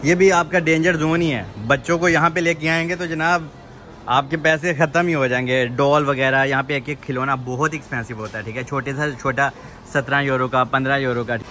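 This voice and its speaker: A man's voice: medium pitch, fairly even intonation, fairly quick, bright, forceful tone, soft background music. A man, talking casually to viewers on his phone camera as he walks through a large supermarket, repeating "theek hai" often. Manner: chatty, addresses viewers as "janab", says "theek hai" often. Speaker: vlogger. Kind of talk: casual walk-through vlog. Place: large supermarket in a shopping mall, indoors. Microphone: handheld phone camera. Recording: noisy store background.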